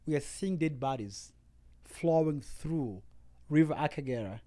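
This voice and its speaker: A man's voice: a little high, lively intonation, unhurried, dark tone. A man of about forty from Uganda with an East African accent, telling his own story emphatically as a podcast guest. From Uganda, Rwandan refugee family. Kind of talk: personal testimony in an interview.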